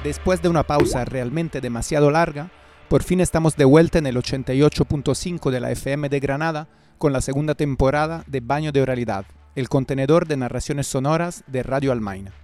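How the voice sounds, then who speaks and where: A man's voice: medium pitch, brisk, a little mellow, soft background music. A middle-aged man presenting a radio programme as its host in a scripted style.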